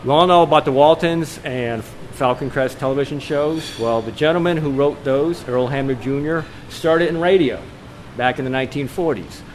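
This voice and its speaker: A man's voice: on the high side, moderate pace, few pauses. Polite, hesitant man in a noisy meeting room. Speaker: club play director. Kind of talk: informal announcement to a club meeting. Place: indoor club meeting room. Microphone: camera microphone at a distance. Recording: noisy room through a camera microphone.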